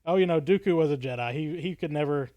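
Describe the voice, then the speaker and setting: Male podcast co-host, lightly high pitch, analytical but casual, halting delivery, very dry sound. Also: no echo, highs cut off.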